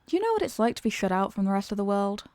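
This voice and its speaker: A woman acts out a female supervillain in a comedic audio roleplay. She is theatrical and sarcastic, an exasperated schemer tired of being evil. Her mid-pitched voice sits close and dry, with no room around it. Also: big pitch swings, racing, a little mellow, no echo, natural voice. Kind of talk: comedic ASMR roleplay monologue.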